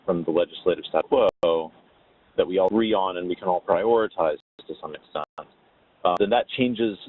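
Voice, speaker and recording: A man explaining policy on a webinar in a measured, halting and thoughtful way, heard over a phone-like connection. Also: sits low. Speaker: webinar panelist on tax policy. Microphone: phone or web conference call. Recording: narrow, tinny phone-line sound.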